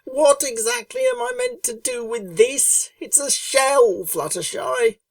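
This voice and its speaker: Woman reader doing a cocky pegasus pony girl, way higher than her own voice, loud and blunt, bit far from the mic. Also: huge pitch swings, slow, vocal fry, dry recording, heavily acted. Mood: baffled. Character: brash, impatient young female pegasus pony. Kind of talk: storybook reading.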